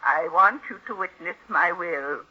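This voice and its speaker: An actress playing a weary, commanding elderly aunt in an old-time radio mystery, with a husky voice. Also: female voice, on the low side, a little slow, sharp tone, heavily acted. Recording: muffled old radio recording.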